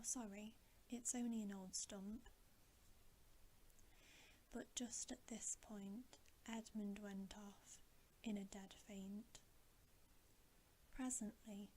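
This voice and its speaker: Woman doing asmr story reading, soft breathy whisper, really slow and even, faint hiss behind. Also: medium pitch, poor audio. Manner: slow, gentle, unhurried delivery with pauses. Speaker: reader of a bedtime story.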